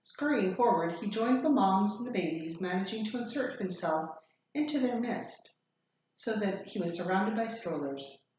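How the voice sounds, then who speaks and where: A woman's voice: fairly low pitch, darkish tone. Very echoey woman reading a story aloud. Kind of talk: expressive story read-aloud. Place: echoey room. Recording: very echoey room, faint noise.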